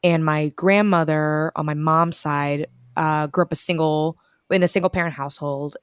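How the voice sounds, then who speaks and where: A young woman from the United States, talking casually as an interview guest over a video call, telling stories with lively quoted asides. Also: sits low, smooth, no echo, clean studio sound. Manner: chatty, animated storytelling with quoted asides. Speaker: bookstore events director, guest.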